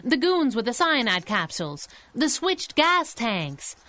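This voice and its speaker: An actress playing an outspoken, spirited young masked heroine in a 1930s-style radio adventure, speaking emphatically. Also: female voice, fairly high pitch, sing-song, unhurried, bright, forceful tone, heavily acted. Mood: insistent. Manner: emphatic, pointed. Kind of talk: 1930s radio drama acting.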